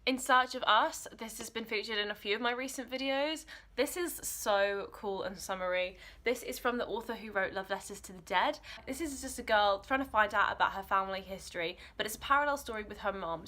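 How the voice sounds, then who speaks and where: A woman's voice: medium pitch, pushed and bright. A young woman in her twenties giving enthusiastic, informal recommendations in a casual, chatty vlog style. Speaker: book vlogger.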